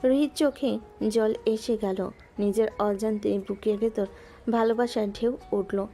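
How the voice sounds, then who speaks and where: A woman gently narrating a scripted romantic audio story. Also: medium pitch, steady tone, measured pace. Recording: music bed underneath.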